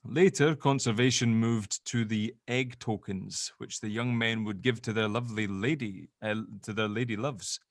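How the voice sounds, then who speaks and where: A man playing a protective middle-aged dad in an audio drama table read, chatty, hesitant and gently awkward. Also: lowish voice, normal speed, hardly any pauses, dry recording, clean studio sound, lightly acted. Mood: rambling, wistful.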